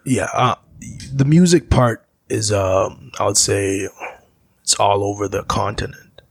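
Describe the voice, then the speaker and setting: A man's voice: on the low side, unhurried, creaky, husky, dry recording. A man from Zambia, a musician being interviewed, who tells reflective stories in a rambling way. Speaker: musician guest. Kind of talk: casual interview talk.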